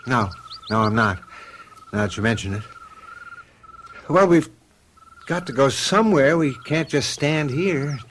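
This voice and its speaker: An actor playing a baffled, troubled husband who tries to stay reasonable, talking earnestly and haltingly in a radio drama. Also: male voice, medium pitch, lively intonation, a bit bright. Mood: troubled, resigned. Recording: noisy vintage radio drama tape.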